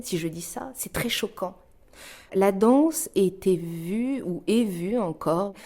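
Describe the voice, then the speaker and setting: A woman from northern Iran answering interview questions reflectively, pausing often as she thinks. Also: fairly low pitch, mellow. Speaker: interview guest, dancer and choreographer.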